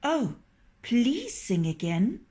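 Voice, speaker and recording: Woman as a cunning storybook fox, honeyed, flattering, slightly raised voice, unhurried. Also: on the high side, pitched above the performer's own voice, exaggerated voice. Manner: sweet-talking and coaxing. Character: sly, flattering male fox.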